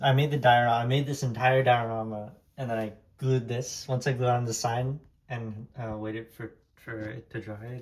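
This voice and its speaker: A man's voice: medium pitch, a little mellow. A young man from Taiwan, a native Hokkien speaker, casually rambling as he tours his diecast collection room, heavy on fillers and restarts. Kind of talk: casual room tour vlog.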